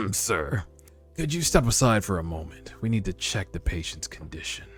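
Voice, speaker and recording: A man voicing a calm, professional doctor, quiet and matter-of-fact, in a webtoon read-aloud. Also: deep voice, moderate pace. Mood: calm, clinical. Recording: background music under voice.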